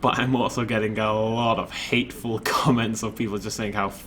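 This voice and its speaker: A man's voice: lowish voice, little pitch movement, fairly quick, fairly bright tone, a little hiss or hum. A man casually rambling while commenting on his own gameplay, chatty and self-deprecating. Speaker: let's play host.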